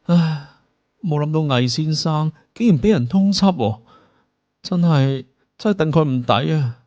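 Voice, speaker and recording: A man's voice: fairly high pitch, lively intonation, a little slow, a little mellow, no echo, highs cut off. A man voicing a loyal, clueless, eager young sidekick in an audiobook drama, emphatic and deferential. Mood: dismayed.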